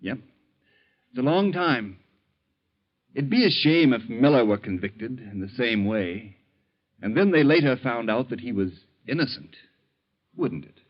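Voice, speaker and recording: An actor playing a mild but shrewd bank teller who probes in a folksy, leading way, in 1940s radio style. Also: male voice, mid-range voice, lively intonation, a little slow, long pauses. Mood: pointed, insinuating. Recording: dull, lo-fi 1940s radio recording.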